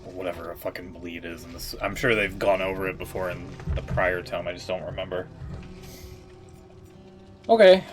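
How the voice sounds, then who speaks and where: Low-voiced streamer, loose and profane. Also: male voice, animated, moderate pace, long pauses, over music. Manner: loose, profane, thinking aloud. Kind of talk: casual reaction commentary.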